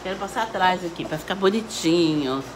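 A woman in her fifties hosting a casual home cooking live stream, chatty and quick to laugh. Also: on the low side, lively intonation, reverberant, noisy background. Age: 50s. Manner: chatty, laughs a lot, frequent "né, ó". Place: home kitchen, indoors. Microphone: phone camera.

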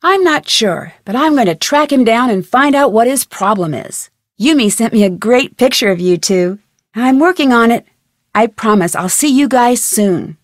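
An actress playing a curious, level-headed young female detective in an adventure game, speaking in an earnest, composed, clearly enunciated way. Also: a little high, lively intonation, moderate pace, dry recording, clean studio sound. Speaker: voice actor, game protagonist. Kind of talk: scripted adventure game dialogue.

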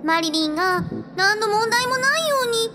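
A woman's voice: very high voice, lively intonation, moderate pace. A woman acting a coy, bubbly, girlish female spirit in a radio drama, cutesy and sweetly wheedling. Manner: cutesy, speaks of herself by name. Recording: music behind the voice.

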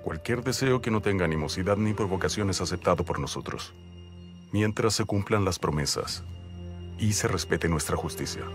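A man plays a young sultan who speaks in slow, commanding decrees. His voice sits very low and steady. Soft score plays beneath him. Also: soft background music, close to an everyday voice. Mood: stern. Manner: slow, authoritative pronouncements. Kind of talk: Spanish dub of a historical series.

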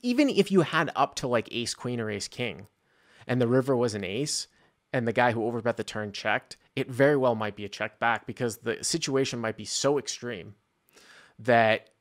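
A man in his thirties hosting a poker call-in show, talking analytically and informally into a close studio mic, thinking out loud. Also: mid-range voice, expressive, normal speed. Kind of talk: casual analytical poker discussion. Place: small indoor studio. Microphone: close desktop studio microphone, headset on.

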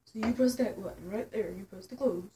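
A teenage girl playing a game with a friend, argumentative and often muttering low. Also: fairly quick, background noise. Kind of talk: casual chat while gaming.

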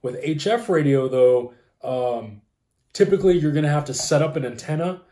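A man's voice: a little high, lively intonation, moderate pace, mellow. A man in his thirties chatting casually and informatively as he explains things as a co-host, recorded in a room at home. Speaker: co-host explaining ham radio. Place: small room at home, indoors. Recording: roomy, echoey home room.

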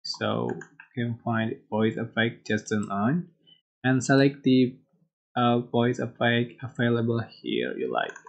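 A person presenting a software tutorial in an informal, halting way. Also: mid-range voice, lively intonation, gravelly, highs cut off. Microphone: screen-recording voice-over.

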